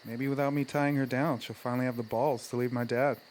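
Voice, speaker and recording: A man playing a brash but loyal small-town teenage football jock in an audio drama, blunt, slangy and halting. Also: mid-range voice, steady tone, a little mellow, a little hiss or hum, close to an everyday voice. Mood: casual. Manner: blunt, slangy, halting over big words. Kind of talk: naturalistic audio drama acting. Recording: faint outdoor night ambience with crickets.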